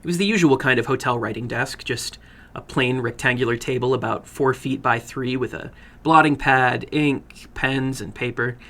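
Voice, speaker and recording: A man narrating a short horror story dramatically, with a theatrical, deliberate delivery. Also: medium pitch, a bit bright. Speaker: storyteller, horror fiction broadcast host.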